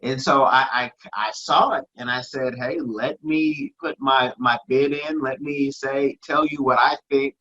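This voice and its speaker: A male podcast host with a husky but bright voice, heard on a dull lo-fi recording. He asks long, winding questions, restarting his sentences as he goes. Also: mid-range voice, steady tone, a little slow, hardly any pauses. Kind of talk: casual interview questions.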